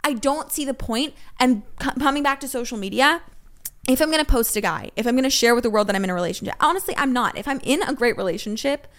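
Animated young woman swearing freely. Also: high-pitched, fast talker. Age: 20s. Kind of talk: casual podcast chat.

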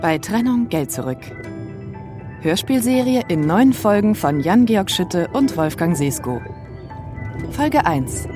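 A woman's voice: lowish voice, big pitch swings, slow pace. A woman announcer reading scripted titles for an audio drama. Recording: music behind the voice.

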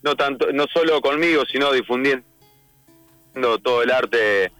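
A man's voice: mid-range voice, moderate pace, a bit bright, no room sound, faint music behind. A man with an Argentine accent, a musician giving a casual interview in an informal, appreciative manner.

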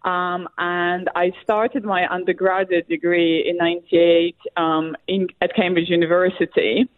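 A woman from Russia with a Russian accent, a historian answering interview questions in a halting way, full of fillers and restarts. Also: on the low side, little pitch movement, no echo. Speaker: guest, academic historian. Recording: tinny phone line.

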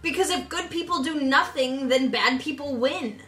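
A woman's voice: high-pitched, moderate pace, a bit bright, slight background noise. A woman playing a tabletop game, talking in an outspoken, upbeat way. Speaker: player. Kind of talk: casual tabletop game play. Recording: echoey room with people around.

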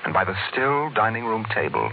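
A man narrating an old radio drama in a grave, deliberate, literary way. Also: on the low side, very animated, vocal fry, narrow, tinny audio, a little hiss or hum. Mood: grave, dramatic. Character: grave storyteller narrating a dark tale. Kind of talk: dramatic mid-20th-century radio narration. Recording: thin old radio, loud music underneath.

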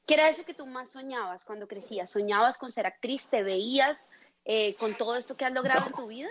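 A woman's voice: on the high side, fairly quick, fairly bright tone, a little hiss or hum. A Colombian woman hosting a podcast interview, enthusiastic and full of praise for her guest. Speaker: podcast host.

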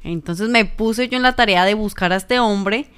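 Cheerful Colombian woman, smooth voice. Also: mid-range voice, moderate pace, a bit bright. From Ibagué, Colombia. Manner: cheerful, chatty. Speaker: podcast co-host, journalist. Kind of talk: casual podcast chat.

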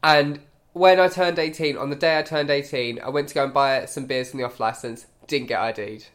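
A man, co-hosting a podcast in casual chat, his voice hoarse and croaky from illness. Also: medium pitch, fairly even intonation, fairly quick, fairly bright tone. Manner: easygoing, jokey. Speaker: podcast co-host.

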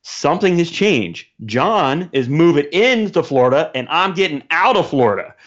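A man's voice: on the high side, sing-song, moderate pace. A man chatting casually as a podcast guest over a remote call. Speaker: podcast guest, show presenter. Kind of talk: casual chat. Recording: dull, lo-fi call audio.

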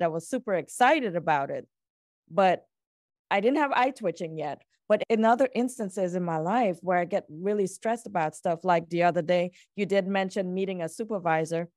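A woman podcast host on a video call, telling a personal story in a lively, laughing way and acting out her own thoughts. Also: mid-range voice, no room sound, studio quality. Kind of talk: casual chat.

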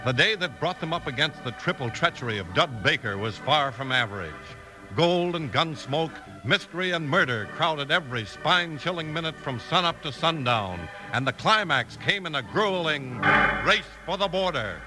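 A man's voice: a little high, animated, moderate pace, rough recording. A man announcing and narrating in dramatic, scripted 1950s radio style. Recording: vintage radio recording. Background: music.

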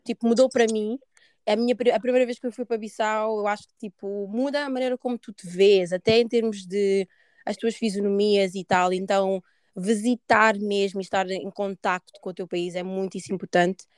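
A woman's voice: medium pitch, fairly even intonation, fairly quick, darkish tone, smooth, no room sound, studio quality. A woman host from Portugal with a European Portuguese accent, chatting informally and dropping in English words. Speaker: podcast host. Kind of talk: casual conversation.

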